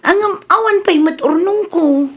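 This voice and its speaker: A woman's voice: extremely high pitch, measured pace. A woman playing a dutiful but headstrong daughter working overseas in a radio drama, with an Ilocano Filipino accent. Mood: surprised, hopeful.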